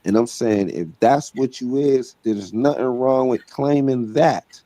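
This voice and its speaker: A man holds forth on a live online panel, pressing his argument with a mellow, low-key tone. He keeps checking in with his listeners as he drives each point home. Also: unhurried, no room sound. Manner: emphatic, repeats "right?" and "you know what I'm saying". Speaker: debate panelist. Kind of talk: heated debate talk.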